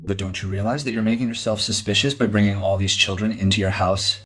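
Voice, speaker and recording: An actor playing a suspicious, blunt police detective who probes and accuses in an audio crime drama. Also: male voice, fairly low pitch, fairly even intonation, quick pace, a bit bright, slightly rough. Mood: accusing. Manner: probing, accusatory.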